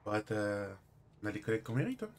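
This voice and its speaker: A man's voice: on the low side, big pitch swings, fast. A man chatting casually in an online roleplay, in short hesitant sentences that trail off. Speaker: streamer playing a character in online roleplay. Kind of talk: casual in-character roleplay chat.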